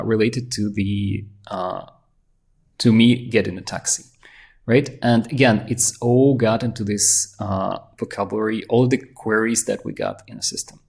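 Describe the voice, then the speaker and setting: A man presenting a software tutorial, walking through a demo in excited, fast talk full of restarts. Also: lowish voice, vocal fry. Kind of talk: screen-share software walkthrough.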